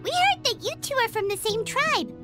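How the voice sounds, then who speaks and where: A tiny floating girl companion from an anime game. The voice is squeaky and extremely high, with hugely swooping pitch. It is chirpy, curious and excitable, over prominent music. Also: moderate pace. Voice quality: squeaky, childlike. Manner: refers to self in third person, excitable. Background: background music.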